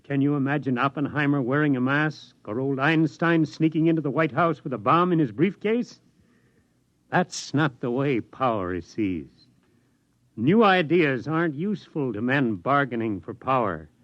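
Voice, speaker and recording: Guy playing old tired scientist in a 50s radio play, reflective, swingy pitch, muffled dry recording. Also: expressive, no room sound, lightly acted. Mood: resigned. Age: older. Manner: reflective, measured speechmaking. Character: weary, disillusioned elderly male scientist. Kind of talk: 1950s radio drama acting. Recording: dull vintage radio recording.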